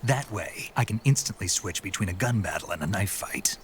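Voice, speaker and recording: A gruff gravelly army guy in a video game, low voice, all business, bit of cricket noise. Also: expressive, a little fast. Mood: confident, instructive. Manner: terse, serious. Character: gruff, wary male soldier and gun expert. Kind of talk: video game cutscene acting. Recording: night insect ambience behind the voice.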